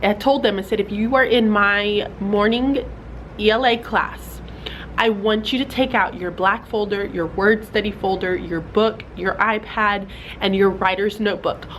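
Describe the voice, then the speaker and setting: A woman's voice: mid-range voice, steady tone, a little slow, fairly bright tone, rough recording. Young woman teacher, casual vlog delivery, mildly congested, chatty with fillers; light background noise. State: slightly stuffy from a cold. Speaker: fourth grade teacher. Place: classroom.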